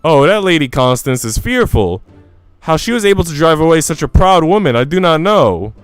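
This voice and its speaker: A man's voice: fairly high pitch, sing-song, normal speed, clean tone, dry recording, soft background music, voice pushed up. A man voicing a gossipy, long-winded young Swiss maid from a visual novel. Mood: awed, gossipy. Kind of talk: visual novel character reading.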